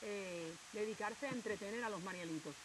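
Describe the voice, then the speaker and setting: A woman hosting a television interview, empathetic and quick to interject. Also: mid-range voice. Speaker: TV host. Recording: hissy TV broadcast audio.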